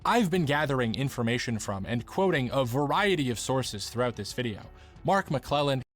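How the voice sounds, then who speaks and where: A man's voice: medium pitch, lively intonation, normal speed, soft background music. A man narrating a scripted documentary-style video essay in an earnest, articulate manner.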